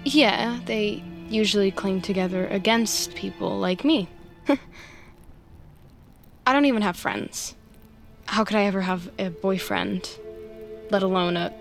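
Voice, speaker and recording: A woman's voice: medium pitch, expressive, slow pace, creaky, pauses often, music in the background. A woman playing an awkward, sardonic, self-deprecating human sidekick in an audio drama, rambling and easily flustered. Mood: self-deprecating, wry. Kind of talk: naturalistic audio drama acting.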